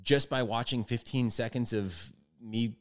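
A man chatting casually as a podcast guest, halting and repeating his words as he thinks. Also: medium pitch, dry recording, studio quality. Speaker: guest, house painter.

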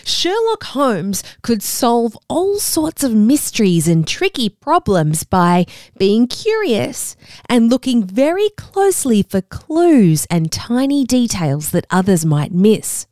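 An Australian woman presents a scripted children's show, keeping it upbeat and encouraging as she talks right to young listeners. Her voice sits a little high and swings widely in pitch. The studio sound is close and dry. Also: darkish tone, no room sound. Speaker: children's podcast host.

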